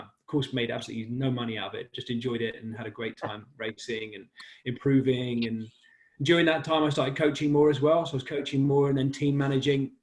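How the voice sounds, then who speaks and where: A man in his forties from West London, talking casually and laughing easily as a guest in an interview about his life in sport. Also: mid-range voice. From West London, England. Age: 40s. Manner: chatty and self-deprecating, laughs easily. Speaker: guest, cycling commentator.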